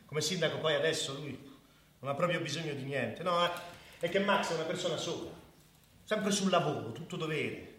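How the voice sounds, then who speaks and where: A man's voice: a little high, brisk, natural voice. An actor playing an idealistic, stubborn doctor in a radio play, agitated and repeating himself as he stammers. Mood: subdued, conciliatory. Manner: agitated, repetitive, stammering when excited. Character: idealistic, excitable, stubborn male spa health director, a doctor. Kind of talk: naturalistic Italian radio play acting. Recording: echoey, distant, noisy radio theatre recording.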